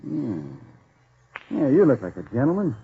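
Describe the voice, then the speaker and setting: An actor playing a shrewd, bossy counterfeit dealer with gruff, slangy tough talk in a 1940s radio crime drama. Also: male voice, fairly high pitch, big pitch swings, normal speed, a little hiss or hum. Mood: businesslike, bossy. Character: shrewd male counterfeit boss, bossy and menacing underworld dealer. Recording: muffled, phone-thin vintage 1940s radio recording.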